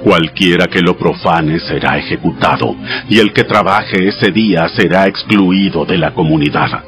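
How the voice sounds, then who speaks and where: The Almighty in an audio Bible drama. The voice is deep and grave, speaking with solemn authority as he gives commands. Soft music runs behind it. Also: unhurried. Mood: stern, commanding.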